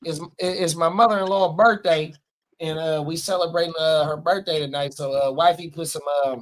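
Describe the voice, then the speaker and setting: A man in his forties talks loosely on a live watch-party stream. He swears often and repeats stock phrases for emphasis. His voice sits a touch high for a man. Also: fairly even intonation, normal speed, low-quality recording. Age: 40s. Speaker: podcast co-host. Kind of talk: casual profane chat.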